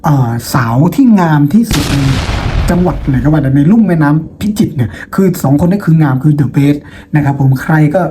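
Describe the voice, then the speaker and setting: A man's voice: a little high, brisk, mellow. A man teaching in an informal, playful storytelling style, chatting to students and often posing rhetorical questions. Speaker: teacher and host of a lesson video. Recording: noticeable background noise.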